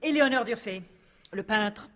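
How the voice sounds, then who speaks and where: A woman's voice: medium pitch, normal speed, natural voice. A woman playing a self-important, gossipy painter and neighbour in a radio crime drama, with an emphatic, theatrical delivery. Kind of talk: 1950s-style French radio crime drama acting.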